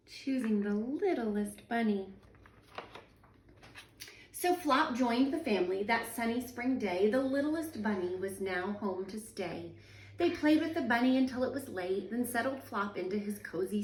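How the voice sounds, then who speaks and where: A woman, a teacher in her thirties, reading a children's picture book aloud at home in a sing-song, expressive way. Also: a little high, a little slow. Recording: echoey room, voice a little far from the mic.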